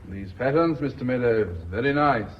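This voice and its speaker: Male actor for tycoon's sly right-hand man in a radio play: husky, deferential, self-satisfied. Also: medium pitch, expressive, normal speed, lightly acted. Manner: deferential, quietly smug. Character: smooth-mannered, sly financial assistant to a tycoon. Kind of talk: British radio drama acting. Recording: dull, muffled radio recording.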